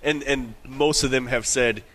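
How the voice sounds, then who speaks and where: American man, bright forceful tone, blunt slangy sports chat, opinionated co-host, light on-location background noise. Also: medium pitch, steady tone, unhurried. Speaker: sports talk show co-host. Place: on location at a golf tournament. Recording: noisy on-location sound.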